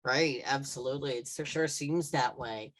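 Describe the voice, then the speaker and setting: A man hosts a live video podcast, stumbling and restarting as he asks questions. His voice is plainly pitched and sounds dry over the call. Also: moderate pace, fairly bright tone, dry recording. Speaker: podcast host. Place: indoors with virtual background.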